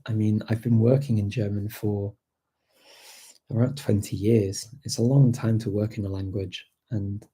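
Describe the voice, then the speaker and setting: A middle-aged man from Britain hosts a relaxed live chat, talking with rambling enthusiasm, full of ums and restarts. His voice sits low with a gravelly edge. The tone is very mellow and a little husky. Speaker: language-learning host answering viewer questions. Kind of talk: casual livestream chat.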